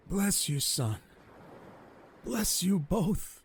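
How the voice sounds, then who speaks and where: Slow, weary old castaway. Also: male voice, sits high, animated, reverberant, recorded from a distance, full character voice. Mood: weak, desperate. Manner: halting, pleading delivery. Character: weary, dying old male castaway, secretive and pleading. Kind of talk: audio drama acting.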